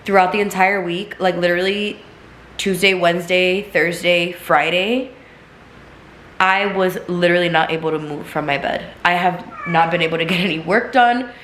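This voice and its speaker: Young female vlogger, low pitch, stuffy congested voice, chatty and loose, in an echoey room with background noise. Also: steady tone, normal speed, a bit bright. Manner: chatty, filler-heavy, talks straight to viewers. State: congested, recovering from illness. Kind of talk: casual chatty vlog. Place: home kitchen, then seated at home.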